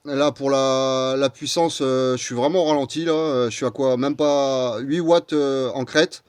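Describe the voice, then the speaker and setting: A man's voice: mid-range voice, steady tone, measured pace, a little mellow, clean tone, no room sound. A man chatting casually and colloquially as an amateur radio operator into a close microphone. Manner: informal, colloquial.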